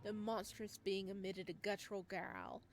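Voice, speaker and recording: A woman reading a visual novel aloud, calm in the narration and quick to break into startled, animated reactions, with faint music behind her. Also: mid-range voice, noisy background.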